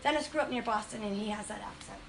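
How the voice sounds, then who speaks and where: A woman's voice: mid-range voice, fast, gravelly, noisy background. A woman from Western Massachusetts demonstrating furniture finishing on a livestream, chatty and enthusiastic, a bit congested from a cold. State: has a cold. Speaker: furniture painting host. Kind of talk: casual livestream demo.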